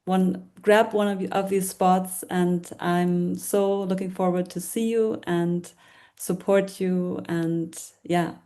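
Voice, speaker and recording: A woman with a German accent talks as a guest in an online workshop, her mellow, soft-edged voice coming over a video call. She speaks hesitantly, restarting her phrases and searching for words in a language that is not her first. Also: lowish voice, steady tone, slow. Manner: hesitant, with many restarts and fillers. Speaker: workshop guest, decluttering coach.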